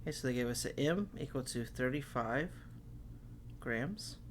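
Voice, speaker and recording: A man's voice: mid-range voice, expressive, normal speed, a little hiss or hum. A man teaching chemistry, working through problems step by step and saying okay often, while losing his voice. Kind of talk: recorded classroom lecture.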